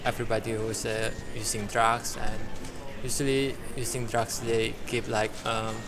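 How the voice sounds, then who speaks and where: A man answering a street interview haltingly, with many fillers as he searches for words. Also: mid-range voice, level tone, crowd noise. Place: outdoors in a city. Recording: outdoors with clear street noise.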